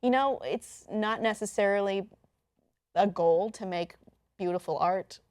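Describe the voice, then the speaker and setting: A woman hosts an entertainment news chat in a mid-pitched voice. She explains things conversationally and casually, as if talking it through with a co-commentator. The sound is close and dry, with very little room. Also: unhurried, darkish tone, no echo. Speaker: host of an online entertainment news show.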